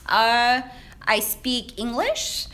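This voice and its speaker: A young woman with a bright, fairly high voice hosts a live question-and-answer stream. She talks in a chatty, upbeat way, with plenty of fillers and quick laughs. She films herself on a phone held at arm's length in an office. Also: sing-song. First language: Vietnamese. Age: young adult. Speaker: menswear stylist answering viewer questions. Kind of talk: casual live Q&A. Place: high-rise office with large windows, indoors. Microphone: handheld phone at arm's length, shaky.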